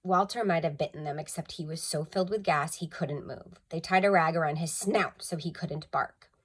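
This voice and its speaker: A woman's voice: deep voice, normal speed, husky. A woman narrating a children's story expressively, with dramatic emphasis, like a school storytime reader.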